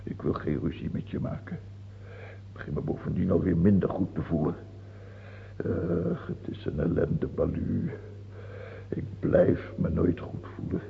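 A man acting in a radio play as an old, ailing king, fearful and self-pitying, with a halting, muttering, brooding delivery. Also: sits low, fairly even intonation, gravelly, exaggerated voice. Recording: muffled phone-like old radio recording, hiss and hum.

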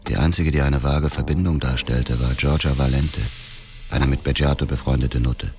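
Very deep, gravelly, grim widower. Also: male voice, fairly even intonation, normal speed, over music. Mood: bitter, brooding. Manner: brooding, terse. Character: grim, obsessed middle-aged widower narrating his revenge. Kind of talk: radio drama narration and dialogue.

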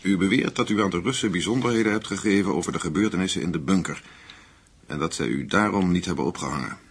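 An actor playing a composed, shrewd American CIA agent in a radio drama, measured, polite and probing. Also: male voice, low voice, slightly rough. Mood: coolly probing. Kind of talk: Dutch radio drama acting.

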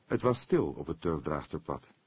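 A male narrator reads a scripted detective story. His voice is deep and husky. The recording is very dry, with hardly any room sound. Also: big pitch swings, normal speed, dry recording. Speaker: audiobook narrator.

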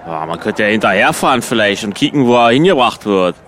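A man's voice: fairly low pitch, expressive, a little fast, fairly bright tone, a little hiss or hum. A man in improvised radio comedy chat, speaking in a hesitant, laconic way.

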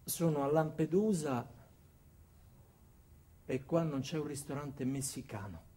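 An actor putting on a weary male caller, very low and quiet. He drags out his complaint in halting, subdued phrases, as comic theatre. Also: a little hiss or hum, natural voice. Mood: gloomy.

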